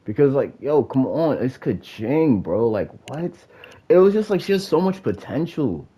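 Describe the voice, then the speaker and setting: A man's voice: medium pitch, sing-song, moderate pace, dark tone, husky. A man with a nasal, congested voice ranting casually about a game, profane and rambling with lots of fillers. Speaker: gamer giving opinions. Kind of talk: casual rant.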